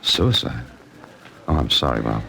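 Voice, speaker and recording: Dry American amateur detective, 1940s radio serial, low register, lively inflection, music-heavy vintage recording. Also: male voice, unhurried, gravelly, heavily acted. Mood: probing. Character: wry, self-possessed male amateur sleuth narrating his case. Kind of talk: 1940s radio drama acting and narration.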